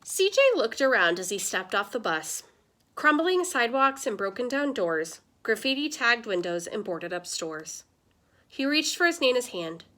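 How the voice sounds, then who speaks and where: A woman teacher reading a children's picture book aloud with expressive, lively storytelling. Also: a little high, moderate pace.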